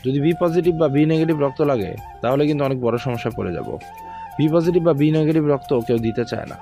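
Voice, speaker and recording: A man's voice: on the high side, lively intonation, quick pace. A man playing a concerned, reproachful character who protests and pleads in an audio story. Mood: worried, pleading. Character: concerned, protesting men: boss's father and office manager. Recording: music under the voice.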